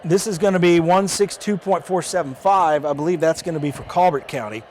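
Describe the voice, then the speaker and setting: Higher-voiced man giving a casual how-to demo. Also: a little fast, darkish tone, slight background noise. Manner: conversational, explains plainly while demonstrating. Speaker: presenter of a live how-to demonstration. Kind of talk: hands-on step-by-step tutorial, informal and unscripted.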